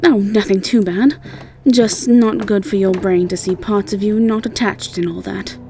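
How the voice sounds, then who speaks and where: A woman's voice: medium pitch, steady tone, normal speed, warm tone, close to an everyday voice. Woman acting brash, breezy, playful young woman. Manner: casual, breezy, blunt. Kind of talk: audio roleplay acting.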